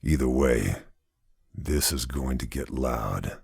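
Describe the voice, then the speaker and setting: An actor playing a grim, terse Allied sniper, narrating game cutscenes in a gravelly, deliberate, hard-boiled way. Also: male voice, extremely low pitch, a little mellow, no echo, clean studio sound, heavily acted. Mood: grim briefing. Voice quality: gravelly, husky.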